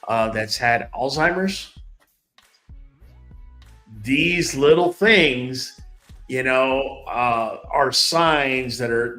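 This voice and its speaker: A man's voice: medium pitch, pushed and bright. Chatty man hosting a livestream. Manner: chatty, repeats words, talks straight to viewers. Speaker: livestream host. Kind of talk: casual livestream chat.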